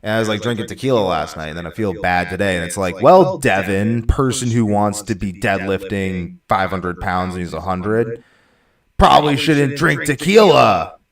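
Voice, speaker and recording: Deep gravelly young man, echoey room. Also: expressive, normal speed. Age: 20s. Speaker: reaction video host. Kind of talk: casual reaction commentary. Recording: echoey room, distant mic.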